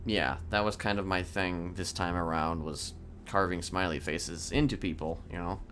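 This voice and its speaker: Man playing a low-voiced rambling sadistic criminal. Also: fairly even intonation, fairly bright tone. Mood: halting, matter-of-fact. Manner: halting, rambling, matter-of-fact, casual swearing. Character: rambling, casually sadistic male criminal confessing his violent deeds. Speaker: streamer role-playing a character. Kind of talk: improvised in-character roleplay dialogue. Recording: clear background noise.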